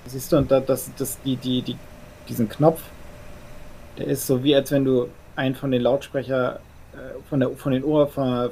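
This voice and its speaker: A man's voice: mid-range voice, gravelly, dark tone, a little hiss or hum, natural voice. A man playing a nervous, perfectionist vampire in a role-play audio drama, stammering and repeating words in a formal, earnest way.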